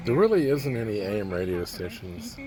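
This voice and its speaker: A man casually showing off an old radio phonograph he restored, talking in a low-key, hesitant way that often trails off mid-sentence. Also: very animated, brisk, a crowd in the background. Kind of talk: casual show-and-tell demo. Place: near the radio, away from the house. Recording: noisy amateur recording.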